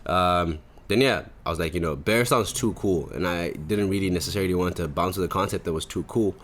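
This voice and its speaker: Young male podcast host, low-pitched voice, loose slangy rambling delivery. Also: brisk, a bit bright, slight background noise. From Zimbabwe. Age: 20s. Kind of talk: casual chat.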